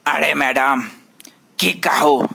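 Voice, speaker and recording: A man's voice: fairly high pitch, extremely slow, vocal fry, bright, forceful tone, husky, faint music behind, full character voice. A man playing a distraught, lovesick village husband in an amateur cartoon comedy, with a Maithili accent and plaintive, over-dramatic complaining. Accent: Maithili, from Mithila. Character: distraught village husband pining for his sister-in-law.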